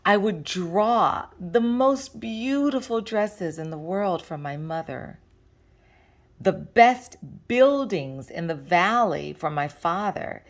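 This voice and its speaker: Slow, low-pitched woman reading a story. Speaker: librarian reading a picture book aloud. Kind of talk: read-aloud storytelling for children.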